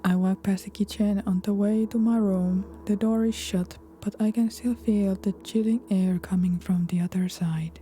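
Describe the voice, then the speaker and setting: A woman doing let's play commentary, reading game text aloud in a soft-spoken voice that often turns whispery. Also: mid-range voice, steady tone, normal speed, hardly any pauses. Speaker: video game streamer. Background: game music.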